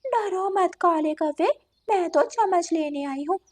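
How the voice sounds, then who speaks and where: Woman voicing a sly, high-pitched female cat villain. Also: a little slow. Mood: wheedling. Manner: wheedling, punctuated with meows. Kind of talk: children's animated story dialogue.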